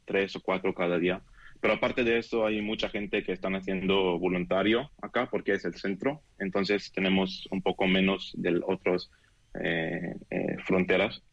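Deep-voiced young Israeli man, dull audio. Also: steady tone, dry recording. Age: 20s. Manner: halting non-native speech, searching for words. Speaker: interviewee giving an eyewitness account. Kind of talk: unscripted interview. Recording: dull, band-limited remote call audio.

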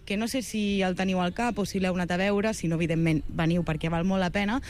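A woman's voice: fairly low pitch, steady tone, a little fast, darkish tone. A woman with a Catalan accent hosting a conversational interview in a chatty, curious, effusive way. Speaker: interviewer and radio host.

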